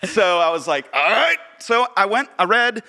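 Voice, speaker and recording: An American man chatting casually and telling stories in an animated, self-deprecating way, often acting out bits of dialogue. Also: sits high, moderate pace, gravelly. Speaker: podcast guest and theater director. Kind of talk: casual conversational storytelling.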